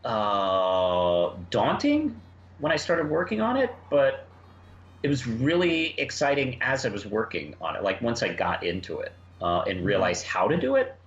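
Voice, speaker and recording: A man chatting casually on a review discussion in a halting, rambling way, often repeating words. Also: mid-range voice, huge pitch swings. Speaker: podcast host and writer. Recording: muffled, roomy, noisy home recording.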